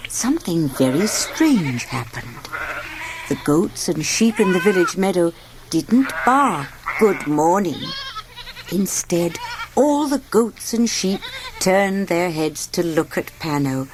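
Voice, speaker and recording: Slow, sing-song storybook narrator, a woman. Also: fairly low pitch, noisy background. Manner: expressive, sing-song reading with sound effects. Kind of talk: read-along children's story narration.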